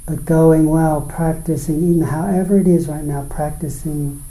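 A man teaching guided meditation, speaking in short, unhurried phrases with pauses between them. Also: a little high, fairly even intonation, mellow. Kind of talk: guided meditation, dharma talk. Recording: roomy, echoing space.

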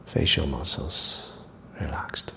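A man teaching guided meditation, speaking softly and breathily in short, unhurried phrases with long pauses, often trailing toward a whisper. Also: a bass voice, steady tone, creaky.

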